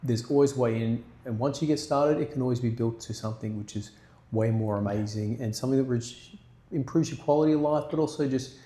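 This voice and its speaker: Male co-host, mellow and earnest, chatty health talk recorded in an echoey room. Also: medium pitch, a little fast. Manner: earnest, keeps adding "right?" tags. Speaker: podcast co-host and manual therapy practitioner. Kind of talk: casual health chat. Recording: roomy echo.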